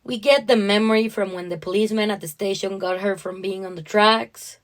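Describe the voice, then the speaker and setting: A woman with a medium-pitched voice talks as a podcast co-host. She explains her reading of fiction in an appreciative, conversational way. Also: steady tone, a little fast. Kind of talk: conversational literary discussion.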